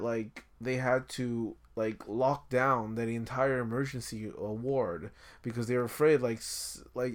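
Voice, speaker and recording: A man retelling a news story on a podcast in casual chat, rambling with many fillers and restarts. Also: mid-range voice. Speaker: podcast host.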